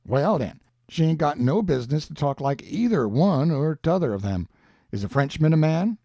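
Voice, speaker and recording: A man's voice: fairly high pitch, sing-song, normal speed, mellow, no echo, lo-fi sound, pitched above the performer's own voice. A man voicing an earnest, stubborn runaway slave in an audiobook, with a Southern American accent and a folksy, emphatic way of arguing. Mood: triumphant, arguing. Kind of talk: audiobook character dialogue.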